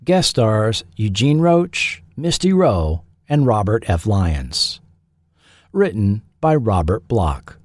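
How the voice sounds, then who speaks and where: A man narrates scripted television history as a host. His delivery is slow and deliberate, with pauses between phrases and a lively, moving pitch. The recording is very dry and close. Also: dry recording.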